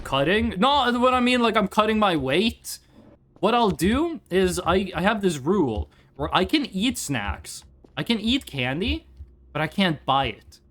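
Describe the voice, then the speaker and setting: A man's voice: sits high, fairly bright tone. A young man from northern Norway, chatting casually on a live stream while gaming, rambling and restarting his sentences. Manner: rambling, restarts sentences, reads chat aloud.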